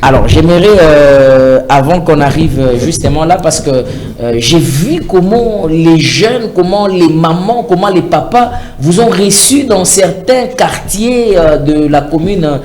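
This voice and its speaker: A man's voice: fairly high pitch, lively intonation, a little slow, hardly any pauses, a little mellow. A man with a Congolese French accent, a journalist interviewing a candidate, prompting with many hesitations and mixing French and Lingala. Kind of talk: street interview. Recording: echoey, noisy.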